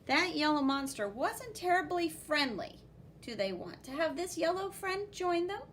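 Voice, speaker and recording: High-voiced woman reading animatedly to children. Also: moderate pace, slight background noise. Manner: expressive, exclaiming, with spoken sound effects. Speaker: art teacher reading a picture book aloud. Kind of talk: animated children's read-aloud with commentary.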